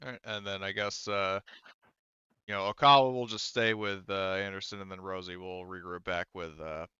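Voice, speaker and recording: Bright, low-voiced man as game master. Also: steady tone, smooth, dry recording, highs cut off. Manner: halting, explanatory. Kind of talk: narrating a tabletop roleplaying game, unscripted.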